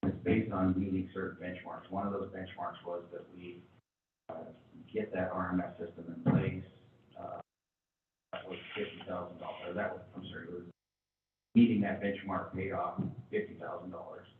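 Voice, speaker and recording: Deep, flat-voiced man in an echoey chamber. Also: a little hiss or hum. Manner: halting, many fillers and restarts. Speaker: city chief presenting to council. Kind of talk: council meeting report. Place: council chamber. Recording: tinny, echoey chamber from far off.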